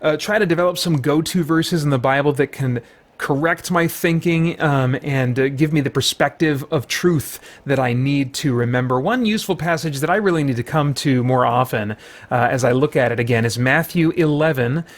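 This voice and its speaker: A man's voice: a little high, normal speed. A man who hosts a gaming and faith channel, talking in a casual commentary style as if thinking aloud to himself. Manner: talks to himself while playing. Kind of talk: casual gameplay commentary and devotional talk.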